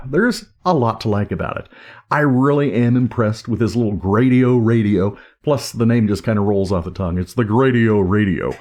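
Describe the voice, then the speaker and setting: A man's voice: lowish voice, normal speed, darkish tone. A man giving a casual, informal hands-on product review, talking off the cuff. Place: indoors at home. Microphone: camera or recorder microphone.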